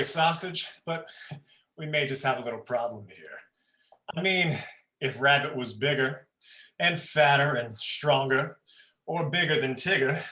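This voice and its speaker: A man's voice: mid-range voice, pushed and bright, natural voice. Man playing a cocky, roomy-sounding cartoonish tiger. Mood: teasing, menacing. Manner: brash and teasing, laughs at own jokes. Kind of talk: adult comedic stage play reading.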